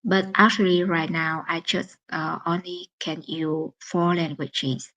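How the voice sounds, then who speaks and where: Slow, low-voiced woman with Vietnamese accent. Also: fairly even intonation, fairly bright tone, low-quality recording. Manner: halting, searching for words, with many pauses. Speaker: live stream host. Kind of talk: casual solo live stream talk.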